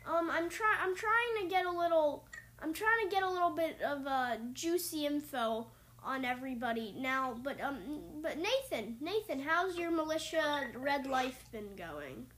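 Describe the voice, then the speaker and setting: A woman hosting an online group call, asking joking interview questions with a teasing, deadpan humor over a video call connection. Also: high voice, moderate pace. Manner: teasing, deadpan jokes, laughs often. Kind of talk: playful mock-interview banter.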